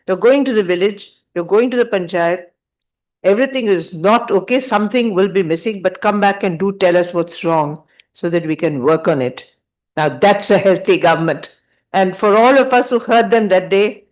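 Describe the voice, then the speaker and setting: A man's voice: high voice, rough recording. A man on a panel at a public event, earnestly telling an anecdote and quoting what others said. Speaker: panel speaker at a seminar event.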